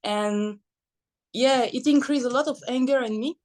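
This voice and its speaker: A young woman from Belgium, a guest athlete telling her story in a casual, animated interview, laughing often. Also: sits high, moderate pace. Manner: animated, laughs often, non-native phrasing.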